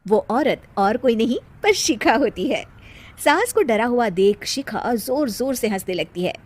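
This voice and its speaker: A woman's voice: on the high side, expressive, a little slow. A woman reading scripted narration for a cartoon moral story as the storyteller.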